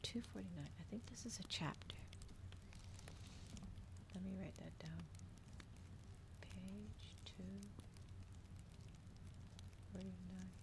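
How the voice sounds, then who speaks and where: Low, creaky-voiced woman streamer, softly chatting. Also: measured pace, long pauses, noisy background. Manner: hushed, often dropping into whispers. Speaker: livestreamer hosting an ASMR reading stream. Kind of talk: casual livestream chat, soft ASMR.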